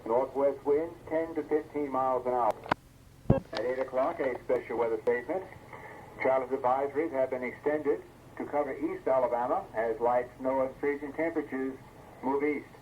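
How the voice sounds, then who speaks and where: A man's voice: mid-range voice, normal speed. A man reading a scripted weather forecast as an announcer on an old weather radio broadcast. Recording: muffled, noisy 1980s weather radio broadcast.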